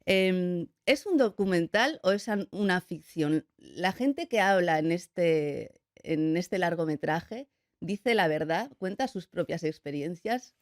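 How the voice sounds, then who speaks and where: A woman interviewer asking measured questions on a radio show. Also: on the low side, lively intonation, no echo, clean studio sound.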